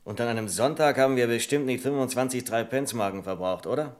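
An actor playing a firm senior bank clerk in a German radio play, measured and dryly sardonic. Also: male voice, mid-range voice, close to an everyday voice. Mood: dryly sarcastic.

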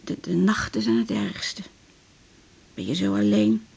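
A woman's voice: medium pitch, sing-song, measured pace, creaky, a little mellow, slightly rough, exaggerated voice. An actress playing a lonely, fretful female hospital patient in a radio drama, plaintive, hesitant and chatty. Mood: fretful, complaining. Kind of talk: 1980s Dutch radio drama acting.

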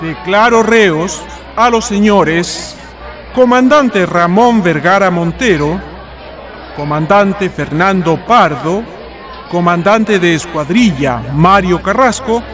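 Male actor as military prosecutor, Chilean accent, high male voice, stern, formal, declamatory, radio drama, crowd behind. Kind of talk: historical radio drama acting.

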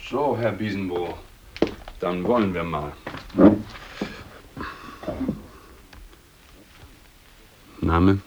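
An actor playing a stern, impatient police interrogator in a radio drama, speaking in a curt, official, condescending way. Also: male voice, sits low, a little slow, lo-fi sound, background noise.

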